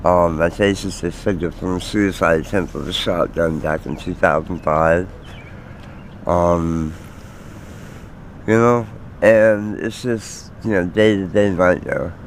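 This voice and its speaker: Deep, slow-talking American man in his forties. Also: a little hiss or hum. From Maryland, United States. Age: 40s. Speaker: homeless interviewee. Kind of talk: personal testimony on a street interview.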